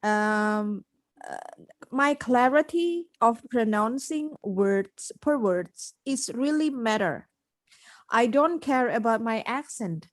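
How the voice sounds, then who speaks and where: A woman hosts a casual podcast chat, speaking slowly and without hurry. Her voice sits a little high, and she states her views plainly and directly. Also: animated. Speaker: podcast host. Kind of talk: casual conversation.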